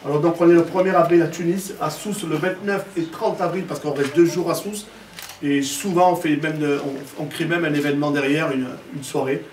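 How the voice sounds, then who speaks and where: A man's voice: a little high, fairly even intonation, a little fast. A man giving an informal spoken presentation as a contest president, hesitating and repeating words as he explains, recorded at a distance. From Algerian family origin. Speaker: president of a model contest, speaker at press presentation. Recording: roomy echo, distant mic, faint noise.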